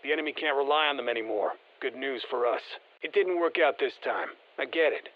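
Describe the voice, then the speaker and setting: A man's voice: mid-range voice, animated, fairly quick, phone-quality sound. An actor playing a confident, folksy male soldier in a video game, giving colloquial, clipped tactical briefings through a radio filter. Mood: steady, matter-of-fact. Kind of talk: scripted game voicelines, mission briefing.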